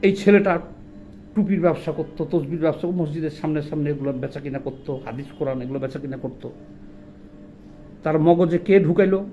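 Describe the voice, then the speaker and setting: A man's voice: a little high, brisk, warm tone, muffled recording, slight background noise. A man with a Bangladeshi accent delivering an impassioned political monologue, indignant and emphatic, often putting rhetorical questions. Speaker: political commentator.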